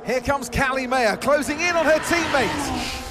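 A very high-pitched race commentator. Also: male voice, unhurried, loud music behind the voice. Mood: excited. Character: enthusiastic male motor race TV commentator. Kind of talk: sports commentary.

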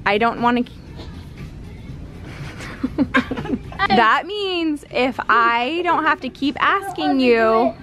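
A woman's voice: high-pitched, takes it slowly, pauses often, over music. A woman in her thirties chatting casually while she films her grocery shopping in a large store, reading out prices. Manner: chatty, animated, pricing items aloud. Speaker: family vlogger. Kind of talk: casual grocery haul vlog. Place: large store aisle indoors. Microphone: handheld camera at arm's length.

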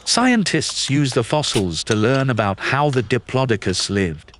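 A man reading a children's nonfiction picture book aloud in a clear, patient, teacherly way. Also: medium pitch, animated, unhurried, a little hiss or hum. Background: background music.

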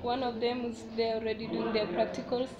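A young woman chats as she walks through her college, filming on a phone held in her hand. Her voice sits a bit high, and she keeps turning to her viewers with "guys" between her remarks. Loud student chatter fills the space behind her. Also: flat delivery, a little fast, muffled recording. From Kenya. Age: young adult. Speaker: student vlogger. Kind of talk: casual walking tour narration. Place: college corridors and classrooms, indoors. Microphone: handheld phone camera. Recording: phone recording with loud crowd noise. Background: noisy crowd of students.

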